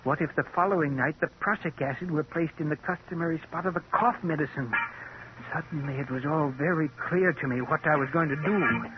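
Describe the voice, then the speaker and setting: A brooding, scheming middle-aged husband , played by a man in old radio drama style, with intense, theatrical delivery. The sound is muffled, with clear hiss and noise from the vintage recording. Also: fairly high pitch, a little fast, natural voice. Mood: tense, scheming.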